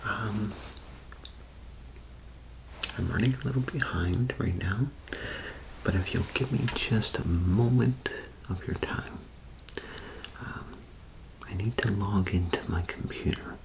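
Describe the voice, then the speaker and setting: Male ASMR role-player, low creaky whisper, courteous customer-service patter, taking his time. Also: slow, pauses often, noisy background. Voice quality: breathy whisper with a creaky edge. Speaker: ASMR role-play performer. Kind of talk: whispered ASMR role play as a travel agency clerk.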